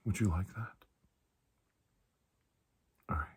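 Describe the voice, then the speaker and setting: A man speaking in a soft, breathy ASMR roleplay as a calm, kindly doctor, gentle and reassuring with unhurried pauses. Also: low-pitched. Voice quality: breathy, hushed. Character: calm, kindly male doctor soothing a frightened patient. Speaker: ASMR performer playing a doctor.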